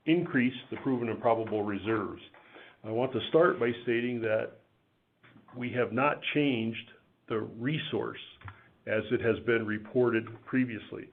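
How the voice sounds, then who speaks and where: A man's voice: medium pitch, vocal fry. Man on a tinny phone line, presenting formally. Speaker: company executive presenting on an investor conference call. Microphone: telephone conference line.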